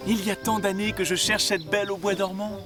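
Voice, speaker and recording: A man's voice: high voice, steady tone, a little fast, over music, close to an everyday voice. A man voicing an earnest, romantic young prince with florid poetic airs in a French-dubbed cartoon. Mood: earnest, resolute.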